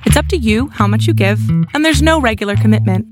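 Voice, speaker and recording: Female promo announcer, somewhat high pitch, scripted read over prominent guitar music. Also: expressive, quick pace. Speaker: sponsorship announcer. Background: loud plucked guitar music.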